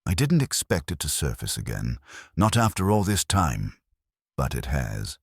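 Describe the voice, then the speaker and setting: A prim, fussy older gentleman from a mystery story, acted out by a male reader. He speaks formally and guardedly in a very deep, husky voice. His pitch rises and falls in a lively way. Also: unhurried, no room sound, studio quality, heavily acted. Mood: uneasy, reluctant. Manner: stiff, formal, reticent.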